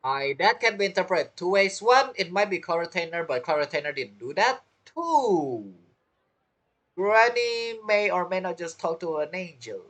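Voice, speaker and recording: A woman's voice: on the low side, expressive, moderate pace, a bit bright. A woman giving chatty, playful reaction commentary as she streams a game. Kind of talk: casual reaction commentary over gameplay.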